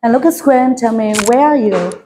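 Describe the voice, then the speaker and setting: A woman's voice: fairly high pitch, normal speed, warm tone, a little hiss or hum. A woman with a Vietnamese accent, an English teacher prompting a young pupil with short, simple questions and praise.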